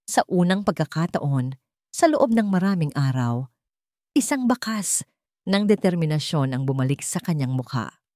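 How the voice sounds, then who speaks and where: A woman's voice: low voice, big pitch swings, moderate pace, warm tone, dry recording, studio quality. A woman narrating a scripted romantic drama as a storyteller.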